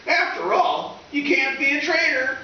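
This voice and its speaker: A man's voice: extremely high pitch, fairly even intonation, a little slow, gravelly, bright, forceful tone, dull audio, exaggerated voice. A man playing a scheming, melodramatic teenage schoolboy with slangy, whiny delivery in old-time radio comedy style. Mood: wounded guilt-tripping. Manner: whiny, exclamatory, theatrical pleading. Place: live stage performance in a reverberant room. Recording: echoey distant stage recording with hiss.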